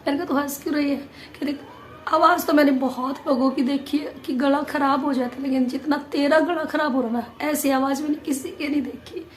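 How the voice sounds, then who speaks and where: A woman vlogger chatting casually to viewers, her voice hoarse and nearly gone, pushing hard to get the words out. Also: sits high, steady tone, brisk. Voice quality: strained, forced voice.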